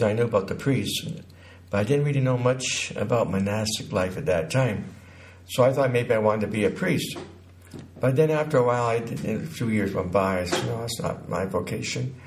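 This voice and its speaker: A man in his sixties from Connecticut recounts his life as an interview guest, haltingly, pausing mid-sentence. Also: lowish voice. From Connecticut, United States. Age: 60s.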